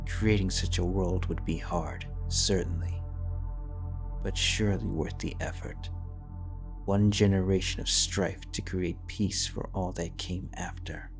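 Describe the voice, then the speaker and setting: A man narrating a fantasy fanfiction audiobook in a measured, solemn manner. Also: low-pitched, gravelly. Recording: soft background music.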